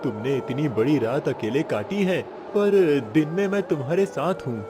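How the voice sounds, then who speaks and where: A man's voice: a little high, moderate pace, over music, lightly acted. A man acting an earnest, helpful young village man in a cartoon moral story, eager and supportive. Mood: urgent, supportive.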